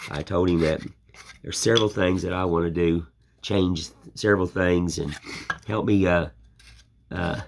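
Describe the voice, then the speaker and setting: Gravelly deep-voiced older American man. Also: steady tone, measured pace, long pauses, slight background noise. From United States. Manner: folksy, plainspoken and rambling. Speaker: woodcarving channel host. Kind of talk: casual chat while carving.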